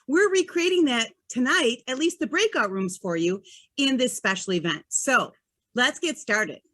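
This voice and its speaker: A woman's voice: on the high side, animated, moderate pace, fairly bright tone. A woman hosting an online event, giving upbeat, scripted introductions. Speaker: event host. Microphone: video call.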